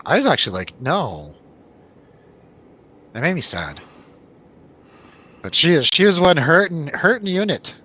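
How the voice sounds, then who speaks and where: A man's voice: a little high, sing-song, a little slow, pauses often, bright, forceful tone, breathy edge. A man hosting a casual film review show in a jokey, irreverent way. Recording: dull lo-fi, faint background noise.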